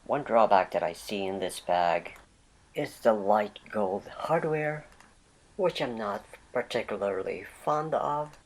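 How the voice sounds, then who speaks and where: A vlog host narrating a product unboxing with a voice left raspy by a lingering cold. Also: medium pitch, slow pace, a little hiss or hum, rough recording.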